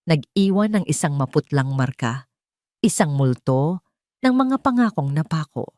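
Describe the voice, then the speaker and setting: A Filipino woman delivering dramatic scripted story narration in a measured, sentimental way with deliberate phrasing. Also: low voice, animated, dark tone, no room sound.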